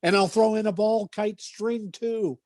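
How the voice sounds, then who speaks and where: A man's voice: high voice, fairly even intonation, a little slow, darkish tone, no room sound, studio quality. A performer playing a cheerful, glib salesman, a male family friend, breezy and chummy in old-time radio comedy style.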